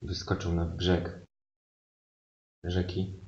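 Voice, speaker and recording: A man narrating improvised comic fairy tales as a stage performer, in a mock-solemn, deliberate way. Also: low-pitched, completely flat delivery, a little mellow. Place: indoor live performance space. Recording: echoey live room, muffled, noisy.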